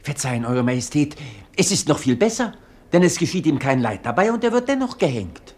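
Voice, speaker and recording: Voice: medium pitch, sing-song, fairly quick. A performer playing a deferential, dryly logical court president in a radio play, speaking formally and obsequiously. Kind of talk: theatrical radio play acting.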